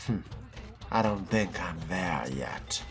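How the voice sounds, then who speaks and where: A man voicing a guarded fledgling vampire in a video game role-play, with dry, deliberate, sardonic delivery. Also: sits low, lively intonation, over music, heavily acted. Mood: curious, dry.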